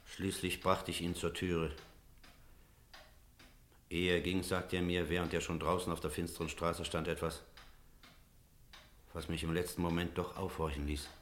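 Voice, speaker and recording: An actor playing a stern, sceptical village priest in a radio drama, speaking in measured, literary phrases. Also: male voice, sits low, flat delivery, a little hiss or hum, natural voice. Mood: grave, reflective. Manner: measured, rhetorical, literary diction. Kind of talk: German radio drama acting with narration.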